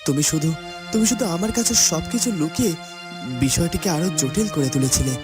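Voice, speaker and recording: A man plays a noble young cartoon prince, earnest and reassuring. His pitch moves in lively swings, and loud music plays under the voice. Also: brisk, close to an everyday voice. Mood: tender, reassuring. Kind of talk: Bengali fairy-tale cartoon dubbing.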